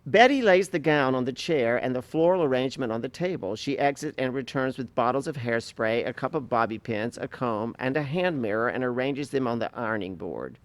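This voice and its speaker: A man's voice: medium pitch, animated, a little fast, few pauses, no room sound. A man reading stage directions as scripted narration for a staged play reading.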